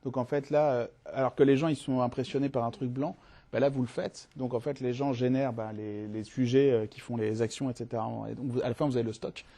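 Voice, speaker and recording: A man, the host, adding quick, enthusiastic, informal remarks in a conversation. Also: mid-range voice, fairly even intonation, warm tone. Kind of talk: conversational comment.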